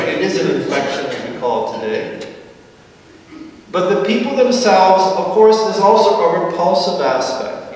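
A middle-aged man preaching a sermon from a church pulpit, explaining at an easy pace with slow, emphatic phrases and a dry touch of humor. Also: on the high side, slight background noise. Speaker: priest. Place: large church sanctuary, at a pulpit. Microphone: far camera. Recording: echoey church from far off.

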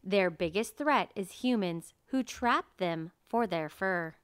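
Woman, children's book narrator, slow pace, smooth clear voice, measured reading, dry close recording. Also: medium pitch, expressive, fairly bright tone, no echo. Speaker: read-aloud narrator. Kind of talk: reading a children's nonfiction picture book aloud.

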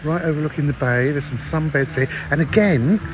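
A man's voice: a little high, fairly quick, noisy background. An older man chatting casually as he films a travel vlog at an outdoor beach restaurant. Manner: chatty, filming as he walks and eats. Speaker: vlog host. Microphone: handheld phone camera. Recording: outdoor phone audio with background music.

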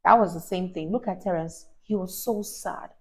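A young woman reading a story aloud to a child, expressive and teacher-like, emphasising words and asking questions. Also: on the low side, moderate pace. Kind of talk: animated read-aloud to a child. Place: small indoor room, on a sofa. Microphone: clip-on lapel mic.

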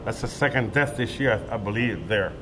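A man's voice: lowish voice, a little fast. An older man, a witness telling what he saw in a hesitant street interview outdoors. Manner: plain, hesitant retelling with fillers. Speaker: eyewitness. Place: outdoor covered sidewalk by a street. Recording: street noise behind.

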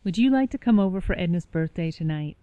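A woman's voice: lowish voice, lively intonation, fast talker, dark tone, no echo, muffled recording, close to an everyday voice. A woman playing a sour, anxious, prim small-town woman in a radio play. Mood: sour, guarded.

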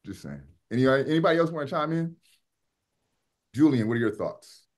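A man's voice: mid-range voice, lively intonation, a little fast, a little mellow. A man leading a class discussion over a video call, chatting in a loose way and pressing students with pointed questions. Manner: conversational, repeats words and asks pointed questions. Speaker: lecturer.

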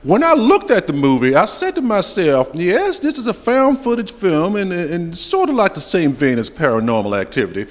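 A man's voice: sits high, huge pitch swings, normal speed, few pauses. A middle-aged man hosting a festival interview on a handheld mic, theatrical and joking, rambling through his questions. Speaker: festival interviewer, show host. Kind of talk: playful red-carpet interview. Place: festival press wall, indoors. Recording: reverberant hall.

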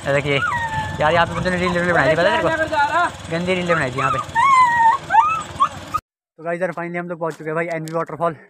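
Young male travel vlogger, chatty and casual, filmed outdoors on a phone with clear road and wind noise. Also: on the high side, expressive, fairly quick, long pauses. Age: young adult. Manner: chatty, calls viewers brother constantly. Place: outdoors on mountain roads and trails. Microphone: phone or helmet camera.

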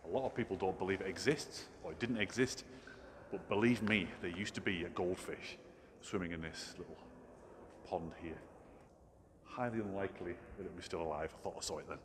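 Low-voiced Englishman, chatting on a hike. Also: a little fast. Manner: chatty, informal. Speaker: vlogger, hiking guide. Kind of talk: casual walking vlog commentary. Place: outdoors on trails and in a cave. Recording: outdoor ambience and noise.

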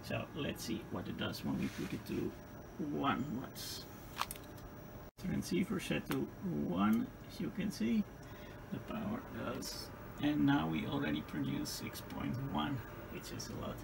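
Male hobbyist demonstrator, Dutch accent, heavy vocal fry, hesitant off-the-cuff delivery, noisy recording. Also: on the high side, huge pitch swings. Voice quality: gravelly. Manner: halting, hesitant phrasing with repeated words. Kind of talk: unscripted equipment demonstration. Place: indoor electronics workbench. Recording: clearly noisy room recording.

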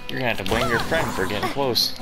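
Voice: medium pitch, fast talker, over loud music. A gamer giving casual, joking playthrough commentary and reacting informally. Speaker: gaming streamer.

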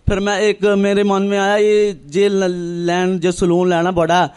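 Man, high pitch, clear smooth voice, earnest testimony, halting storytelling. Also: monotone, darkish tone. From northern India. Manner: earnest, halting, repetitive, acts out reported dialogue. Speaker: church member giving testimony. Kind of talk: personal religious testimony, storytelling.

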